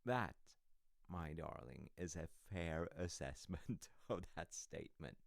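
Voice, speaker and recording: A very low-voiced man acting as a doting, cheeky husband speaks slowly and intimately, close and dry. His delivery swings widely in pitch, teasing and sheepish in the manner of a bedside ASMR roleplay. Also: gravelly, no room sound, exaggerated voice. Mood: animated, wry. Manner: teasing, halting, hushed stretches breaking into animated bursts. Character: sassy, loving husband confessing sheepishly to his sleepy wife.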